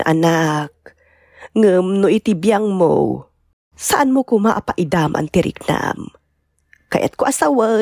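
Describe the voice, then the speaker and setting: A woman acts out a caring elderly Ilocano mother in a radio-style drama. She speaks earnestly and gently, with a slightly husky voice, recorded close and dry. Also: on the low side, no room sound, heavily acted. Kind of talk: Ilocano audio drama acting.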